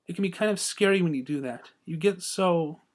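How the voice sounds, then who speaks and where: A man voicing a timid, gentle young female pegasus, hushed and hesitant. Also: high-pitched, brisk, close to an everyday voice.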